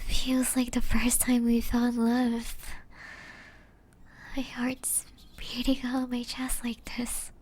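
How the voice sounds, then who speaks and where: A woman performs a remorseful young ex-girlfriend in an intimate ASMR roleplay. She whispers breathily and tenderly in a fairly high voice, taking her time over every phrase. Her melody stays very flat and gentle, with small hesitations. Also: takes it slowly. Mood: shy, relieved.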